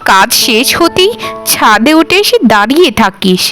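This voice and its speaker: A woman voicing an elderly lady of the house in a cartoon, nagging but fond, with drawn-out phrases. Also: on the high side, sing-song, fairly bright tone, soft background music. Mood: concerned. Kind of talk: cartoon voice acting.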